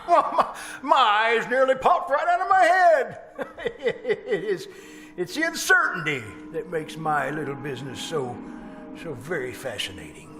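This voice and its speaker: High, swooping, cackling radio-horror killer. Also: male voice, sing-song, bright, forceful tone, heavily acted. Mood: gloating. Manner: cackling, theatrical, savoring pauses and repeated words. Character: gloating, boastful male serial killer showing off his murder house. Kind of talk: old-time radio horror acting. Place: live performance at a winery venue. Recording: live stage radio play over music.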